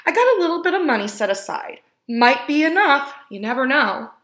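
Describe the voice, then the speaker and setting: A woman's voice: high voice, big pitch swings, brisk, a bit bright, voice pushed up. Woman reading as a kindly grandmother, roomy echo. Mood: hopeful, kindly. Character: kind, practical grandmother of a young boy. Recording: roomy, echoing indoor sound.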